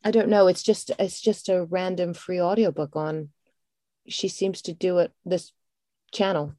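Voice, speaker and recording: An American woman with a fairly low voice who chats in a relaxed, animated way and slips into lively storytelling when she reads aloud. Her sound is close and dry, with little room in it. She talks like a host among friends. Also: unhurried, dry recording. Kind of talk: casual chat and reading a book aloud.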